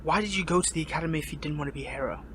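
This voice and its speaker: An earnest, lovelorn young hero held captive, acted by a man in a dramatic audio roleplay. His voice sits fairly high for a man and stumbles into stammers and breathy pleading as he wrestles with his loyalties. Noticeable noise sits behind the recording. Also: racing, bright, forceful tone. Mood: confused, questioning.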